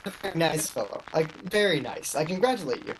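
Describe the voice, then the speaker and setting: A woman acting in a radio play as an awkward, slyly teasing male shop clerk, with a halting, understated delivery. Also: deep voice, lively intonation, noisy background. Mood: slyly reassuring. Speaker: amateur actor.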